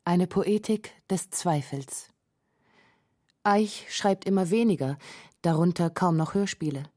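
Woman, very low pitch, dark soft tone, scripted documentary narration. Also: slow pace, dry recording, clean studio sound.

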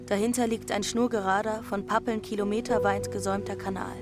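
A woman's voice: mid-range voice, fairly even intonation, brisk, music in the background, natural voice. An actress playing a cheeky, dreamy teenage runaway girl in a radio play, pert and unabashed. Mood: reflective narration. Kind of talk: radio play acting and first-person narration. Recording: loud engine and water noise behind.

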